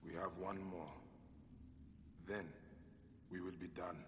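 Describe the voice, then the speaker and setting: A devoted, vengeful warrior husband in an ancient Egyptian game drama. The voice is fairly deep and reverberant, over clearly audible ambient noise. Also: male voice, little pitch movement, slow. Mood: grim. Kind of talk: dramatic video game voice acting. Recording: long hall reverb, noisy background ambience.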